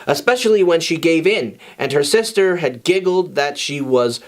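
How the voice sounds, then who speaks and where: A man's voice: fairly high pitch, expressive, normal speed. A man narrating a story in an informal, conversational way, as the host of a reading. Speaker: fan fiction reader and channel host.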